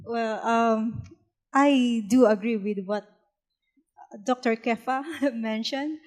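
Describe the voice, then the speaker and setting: A woman's voice: fairly high pitch, slow pace, darkish tone. A woman from the Philippines, a business PhD student on a panel, answering earnestly with a few fillers.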